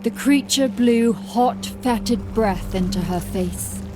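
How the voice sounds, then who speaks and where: Slow-paced woman narrating dark horror fiction. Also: fairly high pitch. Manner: measured, deliberate storytelling. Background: music.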